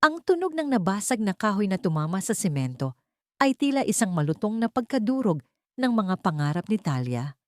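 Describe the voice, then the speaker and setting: A woman's voice: mid-range voice, big pitch swings, brisk, darkish tone, no echo, studio quality. A woman narrator reading a scripted, dramatic story.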